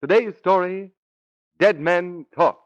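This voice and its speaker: A man's voice: high-pitched, slow, no room sound. A man announcing in a formal, dramatic 1930s radio style. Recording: muffled, dry 1930s radio recording.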